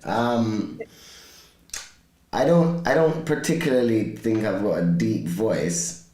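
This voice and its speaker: Slow, deep-voiced laid-back man. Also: mellow. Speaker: guest musician. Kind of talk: casual chat on a live podcast. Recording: echoey room.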